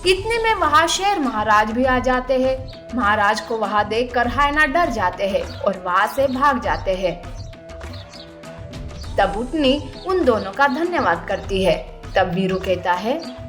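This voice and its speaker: Female storyteller for children's animal tales: high voice, slow scripted delivery, faint music underneath. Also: animated, a bit bright.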